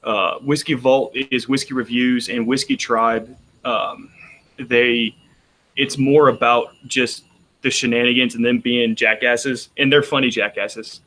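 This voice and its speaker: A man's voice: mid-range voice, fairly even intonation, measured pace, pushed and bright, poor audio. A man with a Texan accent chatting casually on a roundtable, rambling with frequent restarts. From Texas. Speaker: roundtable panelist. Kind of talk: casual chat.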